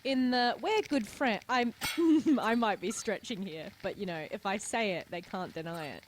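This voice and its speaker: A woman's voice: mid-range voice, normal speed, background noise. A young woman co-hosting a let's play, chatting casually and giggling often. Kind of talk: casual gaming chat.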